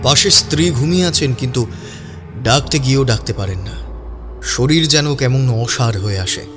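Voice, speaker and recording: Narrator: man, medium pitch, scripted audio drama storytelling with clear music bed. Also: normal speed, fairly bright tone.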